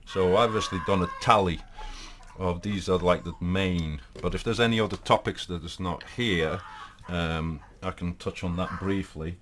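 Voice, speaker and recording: A man's voice: low voice, moderate pace, a bit bright, slight background noise. A man from Portugal with a Portuguese accent, hosting a casual live talk in a rambling way, with many fillers and restarts. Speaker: host.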